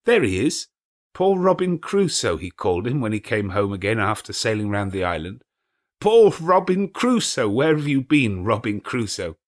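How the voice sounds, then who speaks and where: A man voicing an old male miser, excitedly reliving childhood memories between laughing and crying. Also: lowish voice, moderate pace.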